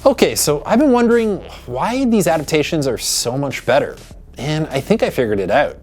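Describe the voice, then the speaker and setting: Lively explanatory presenter over music. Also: medium pitch, normal speed.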